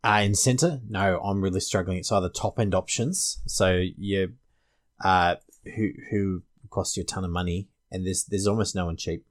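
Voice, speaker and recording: A man's voice: low-pitched, fairly even intonation, moderate pace. A man with an Australian accent hosting a casual fantasy sports panel discussion. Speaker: podcast host.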